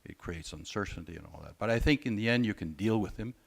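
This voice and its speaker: Low-voiced male panelist, measured. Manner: measured, with frequent hesitations. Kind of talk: panel discussion remarks. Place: conference room.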